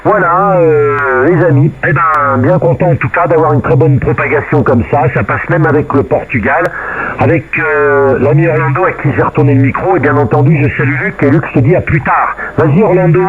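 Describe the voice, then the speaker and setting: A slightly high-voiced man talks casually as a radio operator. His colloquial, repetitive chat comes through a very thin, phone-like radio channel with faint noise. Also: brisk. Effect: radio transmission. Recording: narrow, noisy radio transmission.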